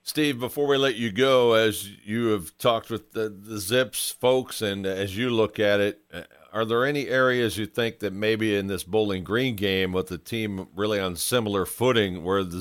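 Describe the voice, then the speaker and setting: Male sports broadcaster as podcast host, fairly low pitch, dry studio sound, orderly and polished. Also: clean tone, dry recording. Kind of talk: sports interview hosting and scripted-sounding announcements.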